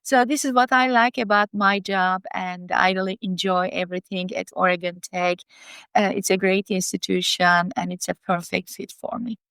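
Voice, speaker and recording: A woman's voice: mid-range voice, fairly even intonation, normal speed, fairly bright tone, clear, resonant tone, no room sound. A woman in her fifties or older with a Turkish accent, answering interview questions as a college dean. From Turkey. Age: 50s or older.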